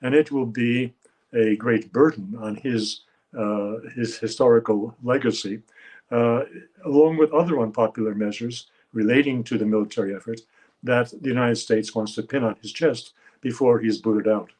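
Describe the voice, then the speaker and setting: A man in his seventies, a guest analyst giving measured expert commentary, often pausing with "uh" as he searches for words. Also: mid-range voice, a little mellow, poor audio. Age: 70s. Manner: measured, halting, with frequent uh fillers.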